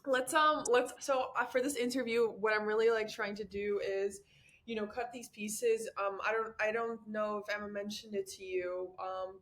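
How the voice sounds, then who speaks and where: A young woman in her twenties from New York runs an interview in a loose, chatty way. Her voice sits at a middling pitch for a woman. She fills her sentences with "like" and keeps a casual tone throughout. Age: 20s. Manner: chatty, heavy use of "like", laughs easily.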